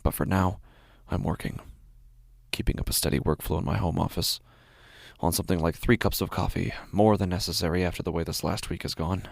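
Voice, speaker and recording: A man playing an exhausted, anxious father, narrating a first-person horror audio drama in a gravelly, husky, halting voice. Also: low-pitched, steady tone, no echo, clean studio sound. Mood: weary, brooding. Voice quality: gravelly, husky, often breathy. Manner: hushed, halting delivery with heavy sighs. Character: exhausted, anxious father haunted by his daughter's calls.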